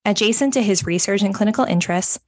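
A woman's voice: mid-range voice, quick pace, no echo. A woman co-host with a middle-range voice chats playfully and laughs often. Her voice is recorded dry and close, with no room sound. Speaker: podcast co-host. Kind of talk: casual chat and guest introduction.

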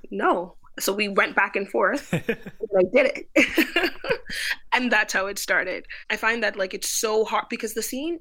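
Chatty young woman with a gravelly edge. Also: mid-range voice, pushed and bright. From Bahamas. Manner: chatty, lots of "like" fillers. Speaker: guest, food photographer. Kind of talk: casual interview storytelling.

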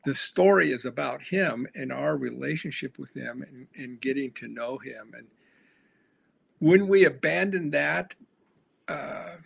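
A man, a pastor and author, earnestly explaining his faith in a conversational interview, pausing on fillers. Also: mid-range voice, gravelly, husky. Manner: earnest, reflective, with frequent fillers.

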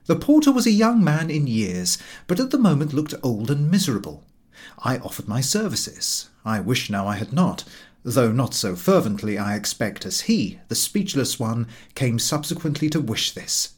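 A man narrating a comic travel story as an audiobook reader, in a wry, measured and expressive way. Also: medium pitch, breathy edge.

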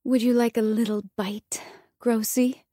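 A woman's voice: level tone, unhurried, warm tone, no echo, clean studio sound. An actress playing a sultry, sardonic vampire woman who hosts a horror show, with a silky voice and theatrical, haughty delivery in a dramatized audiobook. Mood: sultry, savoring. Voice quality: deep, silky.

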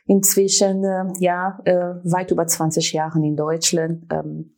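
A Brazilian woman with a Portuguese accent chats as a talk show guest, pausing often on fillers but sounding keen. Her voice sits fairly low and a little husky. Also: monotone, mellow. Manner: hesitant, many fillers, enthusiastic. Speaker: guest, brand manager.

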